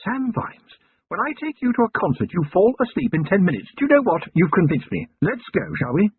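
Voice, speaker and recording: Man doing a poised upper-class wife with lively, lilting speech, husky voice, thin phone-like sound. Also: high-pitched, a little fast, voice pushed up, exaggerated voice. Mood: bossy. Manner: poised, gently bossy. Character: refined aristocratic wife, gently commanding woman.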